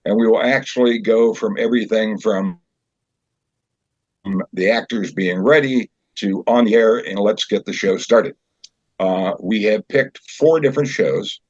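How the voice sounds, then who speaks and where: A man explaining a theater show as its director in an interview, in a conversational, halting way. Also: on the low side, no room sound. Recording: dull, lo-fi sound.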